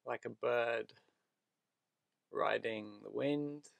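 Slow, creaky-voiced man guiding meditation. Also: mid-range voice, no room sound. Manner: soothing, unhurried short phrases with long pauses. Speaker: meditation guide. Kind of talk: guided meditation.

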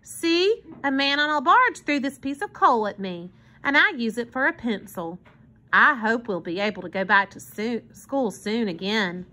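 Woman putting on an eager, high-voiced little girl. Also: expressive, normal speed, bright, forceful tone. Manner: eager, pleading, well-mannered. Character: earnest, polite, hopeful little girl.

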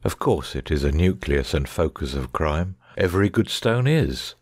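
A man playing a shrewd, composed gentleman detective in a dramatic audiobook reading, precise and measured, with quiet authority. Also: extremely low pitch, sing-song, dry recording, clean studio sound, exaggerated voice. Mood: musing.

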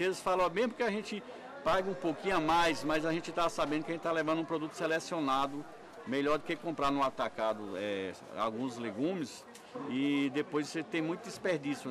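A middle-aged man selling vegetables at a market stall, explaining things in an interview. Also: a little high. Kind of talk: interview answers. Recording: market crowd noise.

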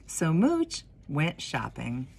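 A woman in her forties reading a children's story expressively, with deliberate pauses and emphasis. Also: sits low, huge pitch swings, vocal fry, a little mellow, breathy edge. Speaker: minister of religious education reading a picture book.

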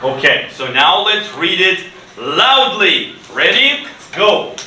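A middle-aged man teaching English to children, speaking deliberately in simple phrases and repeated questions. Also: high-pitched, big pitch swings. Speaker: ESL teacher. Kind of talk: interactive classroom teaching to children. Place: school classroom, indoors. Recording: roomy, distant classroom recording with clear noise.

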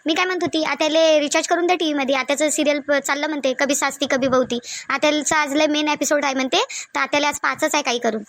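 A woman voicing chatty, bustling village women in a cartoon comedy, with a Varhadi Marathi accent and colloquial, animated chatter. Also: high-pitched, fast talker, dry recording. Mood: insistent. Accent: Varhadi Marathi from Vidarbha.

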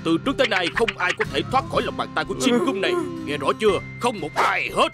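A man's voice: high-pitched, big pitch swings, fast talker, music in the background. A man playing a boastful, swaggering police chief in a cartoon dub, pompous and declamatory. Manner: pompous, declamatory, repeats himself. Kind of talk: dubbed children's cartoon acting.

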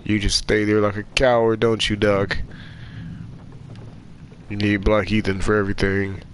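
A man's voice: on the low side, monotone, a little slow, a little hiss or hum. A man streaming a game who sounds nasal from a cold, swearing and trash-talking the characters as he plays. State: sick with a cold. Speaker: gaming streamer. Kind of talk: let's play commentary.

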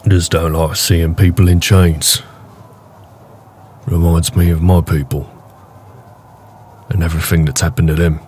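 A man playing a big, gruff, protective orc raider with a wry, casual manner in a fantasy roleplay audio drama. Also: low-pitched, flat delivery, unhurried, pauses often, darkish tone, slight background noise. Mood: firm, matter-of-fact. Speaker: voice actor.

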